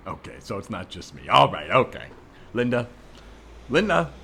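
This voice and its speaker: A man's voice: lowish voice, sing-song, moderate pace, gravelly, a crowd in the background. A man playing a jealous, exasperated husband in improvised comedy, terse and insistent. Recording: background ambience noise, remote recording.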